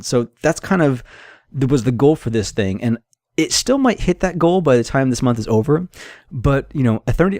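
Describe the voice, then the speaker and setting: A man's voice: mid-range voice, darkish tone, no echo, studio quality. A man giving a casual, unscripted monologue of advice as a game developer, conversational and thinking aloud. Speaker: solo indie game developer hosting an audio log.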